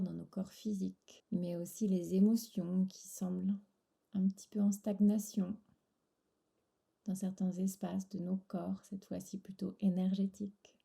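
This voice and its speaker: A woman guiding a relaxation meditation in a soft, breathy, soothing voice, pausing between short phrases. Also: mid-range voice. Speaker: yoga nidra instructor.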